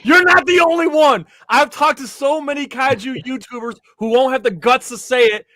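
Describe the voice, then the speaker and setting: A man's voice: very high voice, moderate pace, sharp tone, dry recording. A man on a podcast panel, explaining and giving opinions in casual fan discussion.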